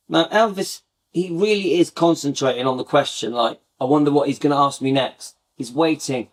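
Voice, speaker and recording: A middle-aged man hosting a casual live fan chat, talking to viewers in a rambling, reminiscing way with many restarts. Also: fairly high pitch. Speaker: fan channel host.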